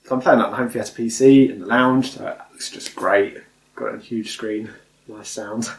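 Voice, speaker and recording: A man from the UK hosting his home channel in relaxed casual chat, often chuckling. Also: on the low side, normal speed, creaky, roomy sound, low-quality recording. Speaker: channel host. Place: home living room sofa.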